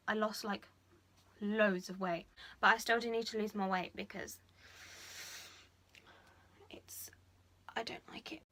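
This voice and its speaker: A young woman talking frankly to camera in a personal vlog, chatty and full of fillers, speaking a little lower than she usually does. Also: bright, forceful tone. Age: young adult. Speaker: vlogger. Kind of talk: candid personal confession.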